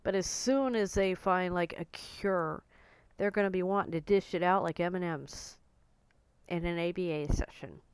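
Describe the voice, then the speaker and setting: A woman in her forties talking casually to camera in a blunt, outspoken way, her voice a little croaky and hoarse. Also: lowish voice, moderate pace, no room sound. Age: 40s. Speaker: vlogger.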